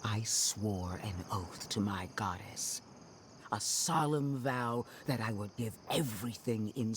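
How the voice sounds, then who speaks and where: An actor playing a stern, solemn undead zealot in a fantasy game, speaking deliberately and accusingly. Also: male voice, medium pitch, a little hiss or hum. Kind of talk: fantasy game cutscene acting.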